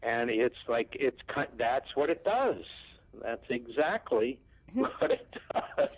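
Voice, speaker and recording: A man hosts a radio talk show, drawing out his thoughts at a very slow, measured pace. His voice comes through dry and tinny, as if over a phone line. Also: mid-range voice, very animated, husky, no echo. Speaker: radio host.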